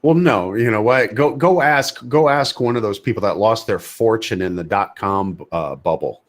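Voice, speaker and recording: A man's voice: fairly low pitch, normal speed. A man co-hosting an opinionated gaming podcast, emphatic, stumbling into sentences and repeating phrases to hammer a point. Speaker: podcast co-host. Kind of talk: heated opinionated discussion of a video game.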